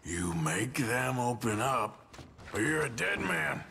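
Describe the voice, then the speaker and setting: An actor playing a sardonic, commanding American cowboy outlaw in a video game. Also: male voice, medium pitch, big pitch swings, slow pace, gravelly, noisy background, full character voice. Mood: menacing. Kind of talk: video game cutscene acting.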